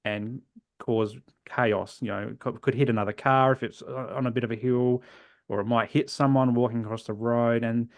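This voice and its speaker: An Australian man in his forties, a guest in a podcast interview, telling his own story earnestly and reflectively, often trailing off softly at the ends of phrases. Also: mid-range voice, steady tone, normal speed, no echo. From South Australia. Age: 40s.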